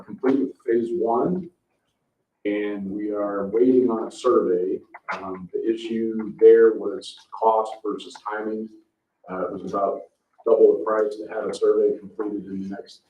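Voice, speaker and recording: An American man chairing a public meeting, talking informally through business items in a meeting room. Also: fairly low pitch. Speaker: commission chair running a meeting. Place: indoor town meeting room. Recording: roomy, distant mic, dull, faint hiss.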